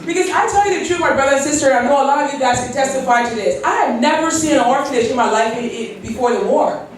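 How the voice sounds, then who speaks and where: A woman in her forties from Liberia tells her story in an earnest, heartfelt speech at an event. Her voice sits a little high. It reaches the mic from far off, swamped by the echo of a big hall. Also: fast talker, a little hiss or hum. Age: 40s. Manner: earnest, storytelling, with quoted prayers and light laughs. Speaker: keynote speaker.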